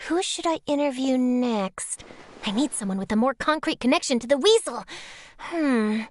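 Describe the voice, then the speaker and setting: High-pitched excitable young female reporter. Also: moderate pace. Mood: determined, dramatic. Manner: theatrical, emphatic, articulate. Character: excitable eager young female newspaper reporter chasing a scoop. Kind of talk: video game voice acting. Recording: music under the voice. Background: game music.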